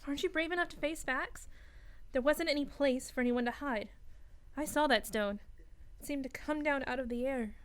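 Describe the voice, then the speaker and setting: A woman's voice: sits high, moderate pace, natural voice. An actress playing an earnest, educated young woman gripped by dread in a radio play, insistent and dramatic.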